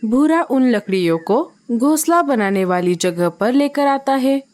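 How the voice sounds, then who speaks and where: A woman narrating a children's cartoon story in a plain, even storytelling manner. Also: a little high, unhurried.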